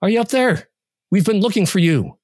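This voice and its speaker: A worried woman calling through a door, voiced by a man, pitched high and pleading. Her words are urgent and raised. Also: sing-song, brisk, a little mellow, breathy edge, full character voice.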